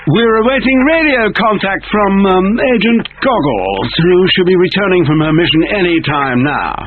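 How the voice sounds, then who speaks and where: A man playing a brisk military mission controller giving a game briefing over a radio filter. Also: high-pitched, animated. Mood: instructive. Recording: tinny radio transmission with faint noise.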